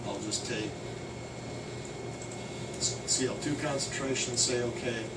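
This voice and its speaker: A man giving a casual, hands-on software training walkthrough, loud and emphatic on key points. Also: medium pitch, steady tone, slow pace. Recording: roomy, distant, noisy air conditioning.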